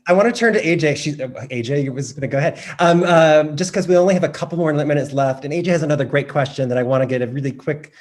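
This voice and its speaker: A man's voice: on the high side, racing, few pauses. A man moderating a video call in a casual, apologetic way.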